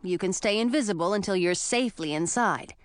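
A woman voicing an earnest, protective young man in a fantasy audiobook, speaking in a grave, firm and measured way. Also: mid-range voice, clear, resonant tone, no room sound, clean studio sound, natural voice. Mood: grave, reassuring.

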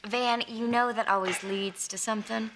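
An actress playing a bossy, matter-of-fact young wife and daughter in a sitcom. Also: female voice, fairly high pitch, steady tone, moderate pace, fairly bright tone, slight background noise. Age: young adult. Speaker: sitcom actor. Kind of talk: broadcast sitcom acting.

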